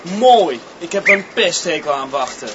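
A curt, jeering schoolboy in a radio play, with a high voice. He grumbles and mocks over clear outdoor noise on a slightly dull recording. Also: expressive, a little slow. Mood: grumbling. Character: grumbling, mocking teenage schoolboy. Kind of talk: radio drama acting. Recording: dull, noisy outdoor-sounding scene.

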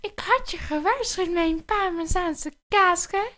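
Creepy coaxing scammer done by a woman, high voice, slow and swoopy. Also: expressive, sharp tone, full character voice. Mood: menacing. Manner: sly, coaxing, menacing. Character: creepy predatory scammer posing as a model agent.

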